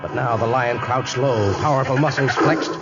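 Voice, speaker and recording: A man's voice: medium pitch, moderate pace. A man narrating a 1950s radio adventure in dramatic storytelling style, on an old muffled recording. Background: dramatic music.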